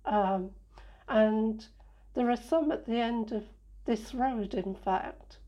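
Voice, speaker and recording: A woman from England answering interview questions in an unhurried, reflective way, with many fillers and restarts. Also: a little high, mellow, dull audio.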